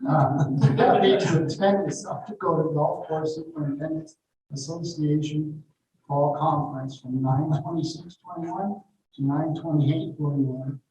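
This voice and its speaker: A man's voice: a little high, fairly even intonation, a little slow, a little mellow. Council member losing his voice. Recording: echoey hall from far off, faint noise.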